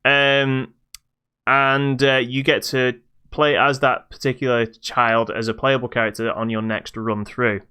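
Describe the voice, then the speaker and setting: A man's voice: medium pitch, flat delivery, measured pace, sharp tone, clear, resonant tone. A British man hosting a podcast, casually reviewing a video game in a hesitant, stop-start way.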